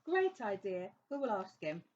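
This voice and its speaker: A woman voicing a touchy, childlike chicken-headed wild creature with a husky voice in a children's audio play. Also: medium pitch, big pitch swings, normal speed, a little mellow, lo-fi sound. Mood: concerned.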